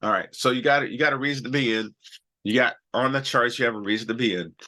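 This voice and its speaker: A man with a very bright, sharp-edged voice hosts a live trading stream in a loose, chatty way. He has a cold and keeps circling back with "all right" as he talks through charts. His delivery is casual and full of restarts. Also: mid-range voice, fast talker. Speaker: stock trading show host.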